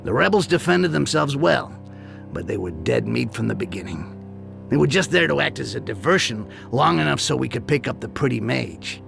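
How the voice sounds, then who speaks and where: A man voicing a cynical, foul-mouthed goblin mercenary in a video game, gravelly and sardonic. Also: medium pitch, big pitch swings, moderate pace, over music. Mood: grim, sardonic. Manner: sardonic, streetwise, crude. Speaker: character narrator.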